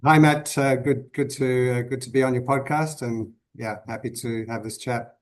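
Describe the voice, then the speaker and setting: A man in his fifties from Zimbabwe, a guest on a podcast interview, answering thoughtfully with many pauses and restarts. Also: mid-range voice, fairly even intonation. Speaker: guest conservationist.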